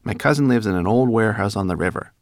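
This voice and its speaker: A man's voice: lowish voice, animated, quick pace, no echo, clean studio sound, voice pushed up, lightly acted. A man voicing a fussy, anxious, know-it-all female rat in a storybook, speaking insistently. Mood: huffy, informative. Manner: fussy, insistent, stammering when flustered.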